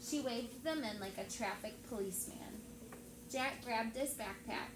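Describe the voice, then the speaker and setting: A woman teacher reading a story aloud to children in an expressive storytelling style. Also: a little high, a little slow. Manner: expressive, acts out dialogue. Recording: echoey room with steady background noise.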